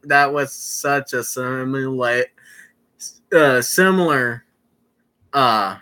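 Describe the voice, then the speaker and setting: Male streamer, casual visual novel commentary, very slow delivery, sharp bright tone, stumbles and repeats himself. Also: medium pitch. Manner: rambling, stumbling over words and repeating phrases.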